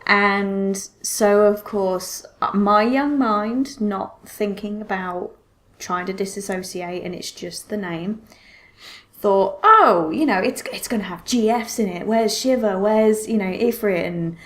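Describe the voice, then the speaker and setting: A woman speaks as a podcast co-host in a casual, conversational way. Her voice sits at a middling pitch as she chats informally about films. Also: slow.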